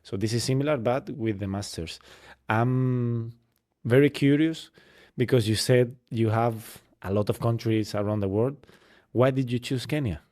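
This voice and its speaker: A man's voice: medium pitch, unhurried, darkish tone. A man hosting a podcast, chatty, summing up what his guest said and asking long follow-up questions. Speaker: podcast host. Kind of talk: casual interview hosting.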